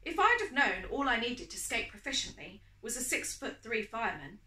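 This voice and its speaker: A woman's voice: fairly high pitch, sing-song, moderate pace, pushed and bright, reverberant. A woman voicing a self-mocking, flustered single career woman bantering on a date in a storybook romance.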